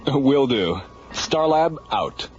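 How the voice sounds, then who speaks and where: Lively space station controller, dull audio. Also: male voice, medium pitch, very slow. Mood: businesslike. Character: easygoing male space station flight controller. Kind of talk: radio drama acting. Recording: dull radio recording with music behind.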